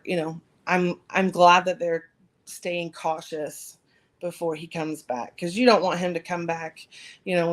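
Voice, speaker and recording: A woman co-hosting a podcast in a casual chat, her voice slightly raspy after recent surgery. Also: lowish voice. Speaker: podcast co-host.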